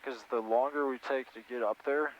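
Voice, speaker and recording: A man's voice: medium pitch, steady tone, normal speed. A man working as a flight instructor, coaching casually and encouragingly over a headset in a small airplane cockpit. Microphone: aviation headset intercom. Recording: muffled cockpit intercom over engine noise.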